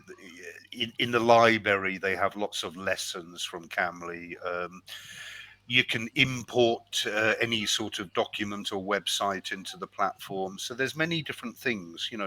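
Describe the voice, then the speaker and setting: A man's voice: on the low side, a little slow, sharp tone. A British man tutoring English over a video call, friendly and chatty, explaining things step by step. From United Kingdom. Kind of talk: online tutoring chat.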